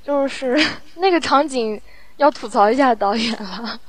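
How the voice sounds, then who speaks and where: A woman's voice: a little high, unhurried. A woman working as a sound editor, chatting casually and playfully as she thinks aloud. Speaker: audio drama post-production sound editor. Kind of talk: casual chat.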